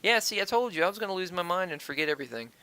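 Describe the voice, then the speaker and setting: A man's voice: high voice, lively intonation, very fast, pushed and bright. A man giving casual video game commentary as host, rambling off on tangents and swearing crudely, recorded on an old, flawed stand-by mic. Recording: wonky, flawed stand-by microphone audio.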